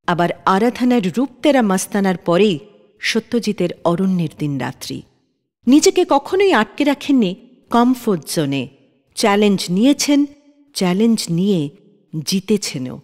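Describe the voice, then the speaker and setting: A woman's voice: fairly high pitch, long reverb tail. A woman hosting a radio show, reading scripted narration.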